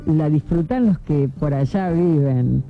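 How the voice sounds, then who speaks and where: A woman's voice: sits low, a little slow, phone-quality sound. A woman, hosting early-morning call-in radio and chatting as she reads out listener messages. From Córdoba, Argentina. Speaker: radio host. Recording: phone-thin radio broadcast with faint music.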